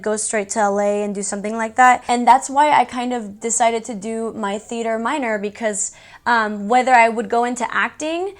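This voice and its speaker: Young woman, native Spanish speaker, higher-pitched voice, informal and candid, casual Q&A vlog style. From born in Mexico City, raised in Houston, USA. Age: young adult. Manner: candid, informal, conversational asides. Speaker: beauty YouTuber.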